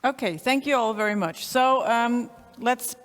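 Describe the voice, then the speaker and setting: A woman's voice: fairly high pitch, a little slow. A woman moderating a panel discussion on a concert hall stage, hesitant and full of fillers. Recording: long concert hall reverb.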